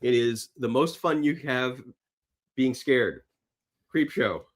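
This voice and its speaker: A man's voice: medium pitch, takes it slowly. A middle-aged man talking casually about films as a podcast guest, enthusiastic and rambling, often repeating his phrases. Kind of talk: casual film chat.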